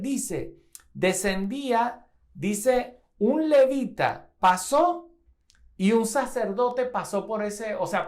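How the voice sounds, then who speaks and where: A woman's voice: mid-range voice, darkish tone. A woman from Venezuela preaching a sermon, reading scripture aloud and repeating phrases for emphasis.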